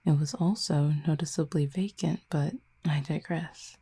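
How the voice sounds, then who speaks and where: A woman voicing a calm, curious cupid in an intimate audio roleplay, speaking softly and half-whispered with formal, measured, gently dry phrasing. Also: deep voice, heavily acted. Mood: calm, gently teasing. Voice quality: breathy, soft, half-whispered. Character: calm, curious otherworldly cupid who feeds on feelings.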